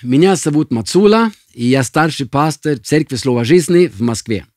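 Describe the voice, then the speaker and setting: A middle-aged man from Sweden, a senior pastor teaching on a leadership podcast from his home office in a halting, measured way full of uh fillers. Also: a little high, lively intonation, darkish tone, dry recording, clean studio sound. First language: Norwegian.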